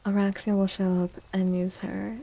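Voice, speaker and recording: A woman livestreaming soft-spoken ASMR chat in a playful, unhurried way, with a breathy, often whispery voice close to the mic. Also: medium pitch, flat delivery, poor audio. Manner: playful, unhurried, repeats phrases. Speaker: livestream host.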